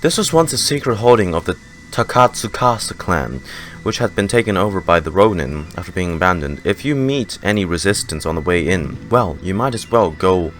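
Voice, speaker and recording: Deep voiced guy doing a casual game reaction, reads lines out, game music behind. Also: animated, brisk, fairly bright tone. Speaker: gaming streamer. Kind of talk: casual game reaction, reading some dialogue aloud. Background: video game music.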